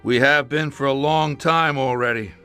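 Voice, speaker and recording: A coolly menacing older crime boss. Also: male voice, medium pitch, bright, forceful tone. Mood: calculating. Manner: measured, dryly sardonic. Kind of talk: dramatic video game acting. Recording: faint music behind the voice.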